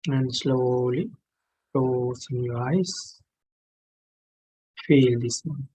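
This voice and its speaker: Male meditation teacher, non-native English, very slow delivery, mid-pitched, gentle phrases with long pauses. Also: breathy edge, low-quality recording. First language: not English. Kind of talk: guided meditation instruction.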